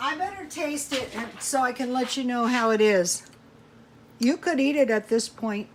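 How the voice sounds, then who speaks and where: A woman's voice: fairly high pitch, normal speed, a little hiss or hum. A woman chatting casually to viewers while cooking at home, thinking aloud in a rambling, folksy way. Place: home kitchen.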